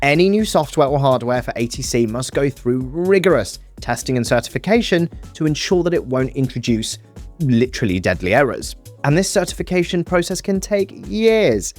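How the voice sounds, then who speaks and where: A man's voice: mid-range voice, sing-song, moderate pace, a little mellow, soft background music. A man presenting a scripted explainer with casual, conversational asides.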